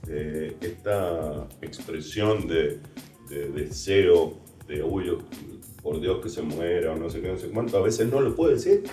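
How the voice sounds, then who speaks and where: A deep-voiced Argentine man chats casually as an interviewer, readily agreeing and chiming in. His tone is dark and relaxed, and he sounds off-mic in a roomy space. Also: unhurried, faint music behind. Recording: roomy echo, distant from mic.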